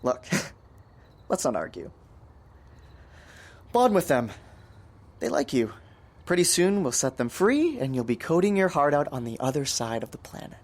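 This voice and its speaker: Audio drama role: unhinged male tech schemer, pushy persuader, fairly high voice. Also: big pitch swings, unhurried, pauses often. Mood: coaxing. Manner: persuasive, domineering.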